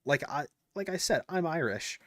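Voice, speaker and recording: A man's voice: a little high, animated, normal speed, a bit bright, no echo, studio quality. A man commenting on clips in a casual, indignant way, thinking aloud with lots of fillers and restarts. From United States.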